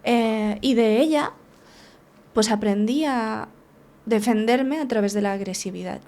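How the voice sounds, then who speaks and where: A woman's voice: fairly high pitch, a little slow, darkish tone. A woman tells her story in a frank, unguarded way. She sits as a therapist and interview guest. She restarts her phrases often and keeps checking in with little tag questions.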